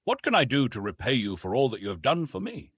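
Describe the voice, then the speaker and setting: Wounded officer in an audiobook adventure read by a man, earnest and anxious, lively pitch, slightly rough voice. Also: fast, dry recording, studio quality, exaggerated voice. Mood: grateful.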